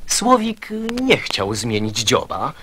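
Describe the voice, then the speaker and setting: Slow, very animated male verse narrator. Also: medium pitch, a little hiss or hum. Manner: theatrical, storytelling. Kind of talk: dramatised poem narration.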